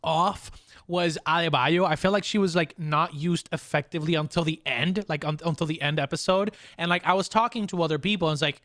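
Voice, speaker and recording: A man hosting a casual pop-culture discussion, talking haltingly and often repeating his words. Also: a little high, a bit bright, dry recording, studio quality. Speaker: podcast host.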